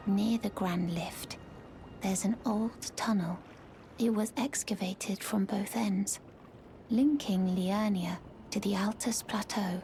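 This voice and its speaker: A woman playing a courteous, mysterious young servant of a noble house in a video game, with a hushed, breathy, formal delivery. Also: medium pitch. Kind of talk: video game character acting.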